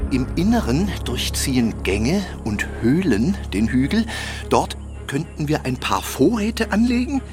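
An actor playing a kindly, learned old half-dwarf tutor who stammers and fusses theatrically in a German children's audio drama. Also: male voice, medium pitch. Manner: flustered, chuckling, stammering, theatrical.

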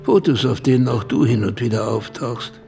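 A man playing the melancholy, wistful father of a decayed wealthy family in a radio drama, with a gravelly voice. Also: medium pitch, moderate pace, lightly acted. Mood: reproachful. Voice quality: gravelly, creaky. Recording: radio play with loud music bed.

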